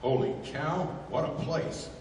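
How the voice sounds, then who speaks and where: A man's voice: fairly high pitch, unhurried, darkish tone, lightly acted. Man playing hearty adventurer, heavily echoing. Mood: excited. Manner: exclamatory, plain-spoken. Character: hearty, gung-ho big-fisted adventurer sidekick, a man. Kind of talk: 1930s radio adventure acting. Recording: echoey hall from far off, faint noise.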